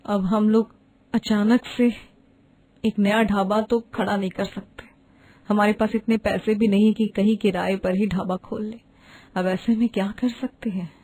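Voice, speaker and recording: A woman's voice: mid-range voice, little pitch movement, measured pace, darkish tone, natural voice, rough recording. A woman voicing an anxious village mother. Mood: worried. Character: anxious aging village wife, devoted mother. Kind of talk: animated story dubbing.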